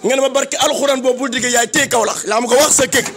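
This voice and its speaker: A man's voice: extremely high pitch, fairly even intonation, very fast, very noisy. A man with a Senegalese accent giving an impassioned public praise speech, declamatory and emphatic, with repeated phrases. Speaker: political activist giving a tribute.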